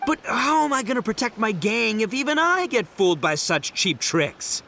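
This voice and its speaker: A man voicing a brash, boisterous young male gang leader in a game, loud and slangy. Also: high-pitched, sing-song, moderate pace, gravelly, slight background noise, exaggerated voice. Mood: excitable. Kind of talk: game cutscene voice acting.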